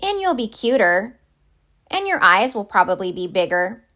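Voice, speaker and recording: A woman's voice: mid-range voice, big pitch swings, normal speed, bright, forceful tone. A woman voicing a doubtful, matter-of-fact but kindly cockroach in a children's storybook. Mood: kindly reassuring.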